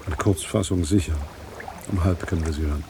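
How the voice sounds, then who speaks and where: An actor playing a frustrated journalist in a radio play, dry, ironic and musing, resigned yet affectionate. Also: male voice, low voice, brisk, background noise. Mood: wry, resigned.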